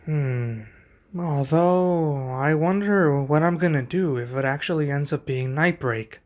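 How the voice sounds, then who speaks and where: A man playing a young king and protective husband in an ASMR roleplay, speaking in a formal, measured, deliberate way. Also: on the high side, a little mellow, highs cut off, natural voice. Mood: pensive, measured.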